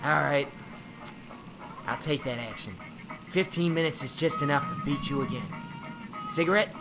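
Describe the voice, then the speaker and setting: A man's voice: fairly high pitch, normal speed, close to an everyday voice. Male actor as a jumpy, superstitious controlman. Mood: grumbling. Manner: uneasy, grumbling. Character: nervous, superstitious airfield controlman, older-pal type, reluctant. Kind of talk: old time radio drama acting. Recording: loud music and storm effects behind voice.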